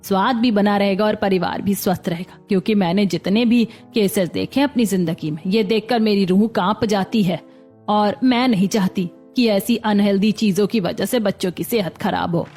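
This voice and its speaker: A woman's voice: medium pitch, normal speed, darkish tone, soft background music, close to an everyday voice. A woman playing a caring, cautious older mother-in-law who advises earnestly in a cartoon family story. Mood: concerned. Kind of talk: Hindi cartoon story acting.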